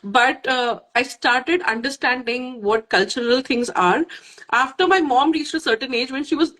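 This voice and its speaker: A woman's voice: sits high, moderate pace, a bit bright, low-quality recording. A woman who grew up in Kuwait, hosting a casual interview, enthusiastic and hesitant with frequent "uh" fillers.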